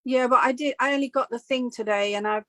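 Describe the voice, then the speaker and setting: A woman chairing a video call, flustered and halting. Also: on the high side, steady tone, pushed and bright, no room sound.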